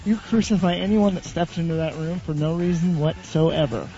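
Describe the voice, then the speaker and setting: Sarcastic man with a mellow voice. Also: on the high side. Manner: blunt, sarcastic, quick comebacks. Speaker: internet radio co-host. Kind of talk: casual on-air banter. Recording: dull lo-fi audio with faint music.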